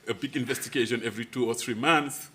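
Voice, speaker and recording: A man's voice: mid-range voice, normal speed, noisy background. A man on a conference panel talks candidly and casually, with a few fillers and an easy tone.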